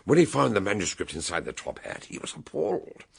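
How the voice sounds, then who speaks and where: An actor playing a shrewd, commanding older British gentleman detective in a radio drama, booming and declamatory. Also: huge pitch swings, fairly quick, vocal fry, slightly rough, exaggerated voice. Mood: explaining, excited.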